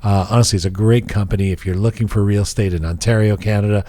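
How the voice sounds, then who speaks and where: A man hosting a podcast, joking and animated in casual chat and reading sponsor spots. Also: lowish voice, quick pace, no echo. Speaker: podcast host.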